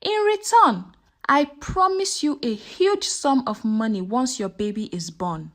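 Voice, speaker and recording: A woman's voice: a little high, lively intonation, a little slow. A woman storyteller voicing a manipulative village madam who coaxes and threatens under a show of kindness. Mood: coaxing, menacing. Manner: coaxing, menacing. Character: scheming, manipulative village madam, falsely kind.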